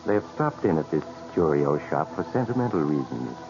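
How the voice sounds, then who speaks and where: A man's voice: a bass voice, very animated, fairly quick, gravelly, full character voice. An actor playing a sly, ominous male narrator in a theatrical 1940s radio mystery. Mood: grave storytelling. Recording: dull 1940s radio recording with loud music.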